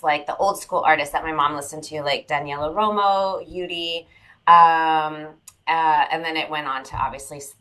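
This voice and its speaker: Woman, former pop singer, bright tense voice, lowish pitch, halting casual talk. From California. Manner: hesitant, many fillers. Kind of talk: casual interview chat.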